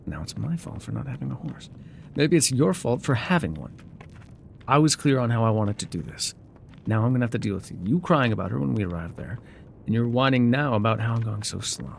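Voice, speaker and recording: An actor playing a gruff, wry loner guide in an ASMR roleplay, with a dry, sardonic, unhurried delivery. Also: male voice, mid-range voice, a little hiss or hum. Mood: irritated, defensive. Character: gruff, wry loner man guiding a rider on foot.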